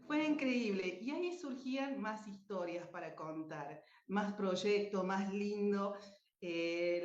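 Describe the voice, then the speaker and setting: A woman with an Argentine Spanish accent giving a personal talk, speaking expressively with theatrical emphasis. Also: fairly high pitch. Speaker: speaker, radio drama writer and cultural manager. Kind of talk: personal talk at a virtual conference. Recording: echoey room, dull muffled sound.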